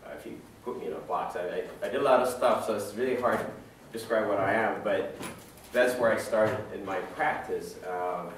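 A man's voice: fairly low pitch, fairly quick, slight background noise. A middle-aged man from Ethiopia giving a guest talk to a class, conversational and full of fillers and restarts. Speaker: guest lecturer. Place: large dim lecture room. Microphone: far camera. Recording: echoey room from far off.

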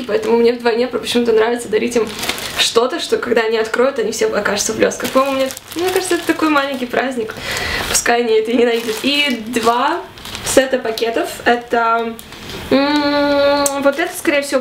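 A young woman in her twenties vlogging casually to camera in her small bedroom, chatty and animated. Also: on the high side, quick pace, reverberant, noisy background. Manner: chatty and animated, gesturing a lot. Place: small bedroom with fairy lights.

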